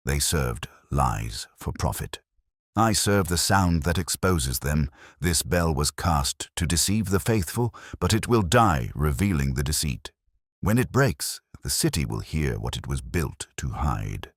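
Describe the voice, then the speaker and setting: A man voicing a coldly vengeful villain posing as a priest in a story, deliberate, preachy and ominous. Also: very deep voice, big pitch swings, no echo, clean studio sound, exaggerated voice. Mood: righteous, vengeful.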